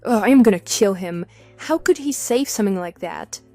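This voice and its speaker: A woman's voice: medium pitch, animated, normal speed, a little mellow. A woman playing a loyal, easily exasperated wife in a scripted online radio drama. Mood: indignant. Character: exasperated, loyal wife, indignant but trusting. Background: background music.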